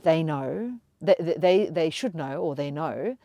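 A woman hosts a podcast in a low, mellow voice. She encourages her guest and talks with frequent hesitations and fillers. The sound is dry and close. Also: expressive, slow pace, creaky, dry recording. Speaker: podcast host. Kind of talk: conversational interview.

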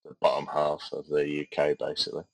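A man's voice: a bass voice, completely flat delivery, fast talker, gravelly, no room sound. A man co-hosting a podcast, chatting casually and hesitantly with many fillers. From Midlands of England. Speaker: podcast co-host. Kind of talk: casual chat.

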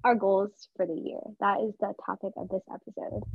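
Husky, bubbly young woman. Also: medium pitch, fairly quick, no echo. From Georgia, US. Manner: bubbly, excitable, talks with lots of fillers. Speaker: podcast co-host. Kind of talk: casual chat. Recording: dull lo-fi sound.

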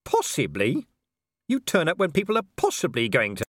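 A man's voice: fairly high pitch, sing-song, normal speed, a bit bright, no echo, clean studio sound, pitched above the performer's own voice. A man voicing a beleaguered, sardonic hero in an audiobook, sarcastic and incredulous. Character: beleaguered, sardonic male hero, a titled nobleman.

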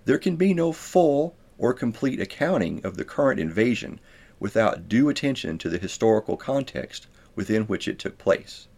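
A man reading his own nonfiction book aloud as scripted narration, in a measured, formal way. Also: fairly low pitch, very animated, darkish tone.

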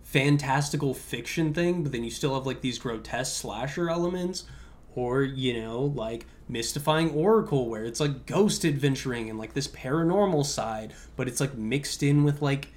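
A young adult man with a mid-pitched voice talks as a podcast host. His delivery is loose and conversational, wandering through his thoughts as he answers listener questions. He leans on "like" constantly, keeping it very informal. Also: normal speed. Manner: informal, conversational, heavy use of "like".

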